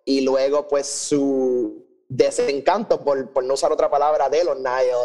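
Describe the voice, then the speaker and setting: A man co-hosting a podcast, speaking casually and haltingly, repeating words and mixing Spanish with English. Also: medium pitch, flat delivery, over music. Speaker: podcast co-host. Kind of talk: casual conversational review.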